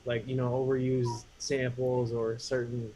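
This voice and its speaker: A man's voice: medium pitch, monotone, unhurried, warm tone, slight background noise, rough recording. A man hosting a casual live chat, coaching a guest on music production with lots of fillers. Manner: mentoring, gives advice, many fillers. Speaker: host.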